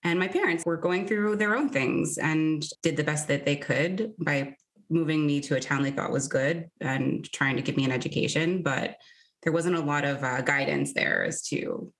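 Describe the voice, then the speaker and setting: A woman's voice: deep voice, animated, moderate pace. A woman in her thirties from New Jersey, talking candidly and reflectively as a guest in a casual interview. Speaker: podcast guest.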